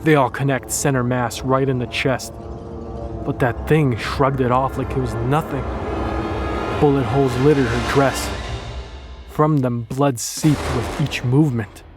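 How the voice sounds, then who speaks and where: A man's voice: mid-range voice, natural voice. Man voicing a grim WWII soldier telling horror. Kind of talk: dramatic scripted horror narration.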